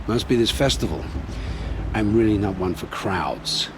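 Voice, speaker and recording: A guarded, weary scout who brushes people off in a gruff, low voice. He dodges and keeps things short. Steady game ambience hums underneath. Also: a little slow. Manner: gruff, dodging and dismissive. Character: guarded, evasive male scout, weary and curt. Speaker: outlander scout. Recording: game audio with ambient noise.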